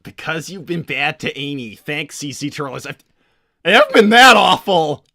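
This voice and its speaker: A man as a bright-voiced, flustered young hedgehog hero. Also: on the high side, moderate pace, a little hiss or hum. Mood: bashful, unsure. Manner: stammering, hesitant, self-deprecating. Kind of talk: improvised in-character livestream roleplay.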